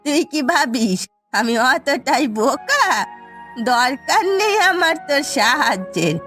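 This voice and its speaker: A high, very animated cartoon voice from a woman of an old village grandmother. She speaks slowly and dramatically, querulous and suspicious, with a scolding edge. Faint music plays behind her. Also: heavily acted. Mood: indignant. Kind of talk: Bengali cartoon voice acting.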